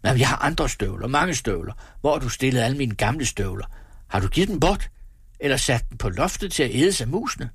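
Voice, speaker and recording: A miserly, blustering husband from a storybook, acted out by a man. The voice is husky and very animated, swinging widely in pitch. He complains grandly and theatrically, full of self-pity and temper, in a dry, close recording. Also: no room sound. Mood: angry, self-pitying. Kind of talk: dramatised storybook reading.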